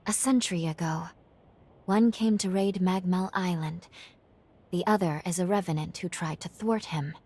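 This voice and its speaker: A woman acting a gentle, solemn young female guide in a video game cutscene, speaking in a formal, earnest, measured way. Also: on the low side, natural voice. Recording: music under the voice. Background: background music.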